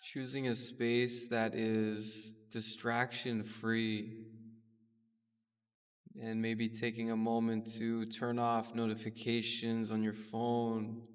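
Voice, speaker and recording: A man leading a guided meditation with gentle, soothing, unhurried instruction. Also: mid-range voice, clean tone. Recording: distant mic in a reverberant space.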